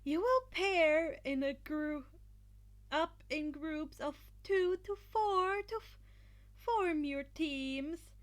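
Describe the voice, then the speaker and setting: Woman plays excitable female festival announcer: high, showy, stretched-out phrasing, relishing the rules. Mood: excited announcing. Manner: gleeful, dramatic, drawn-out delivery. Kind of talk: fan fiction podfic character voice.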